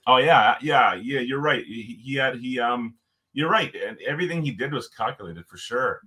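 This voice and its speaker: A man from Ontario with a loud voice, telling stories as a podcast guest in an animated, emphatic way. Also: medium pitch, moderate pace. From Canada, Ontario. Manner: animated storyteller, emphatic, frequent restarts. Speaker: podcast guest, former hockey player. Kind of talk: casual storytelling interview.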